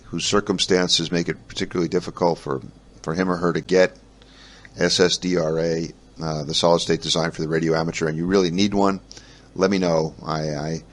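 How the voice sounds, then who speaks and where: A man hosting a hobby podcast, talking casually in a halting, rambling way with frequent pauses and restarts. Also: sits low, flat delivery. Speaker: podcast host. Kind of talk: casual solo podcast talk about ham radio.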